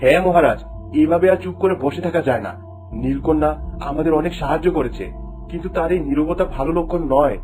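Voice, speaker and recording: A man's voice: fairly high pitch, quick pace, darkish tone, faint music behind, lightly acted. A man voicing a dutiful, firm army commander in a fairy-tale cartoon. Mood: urgent, firm.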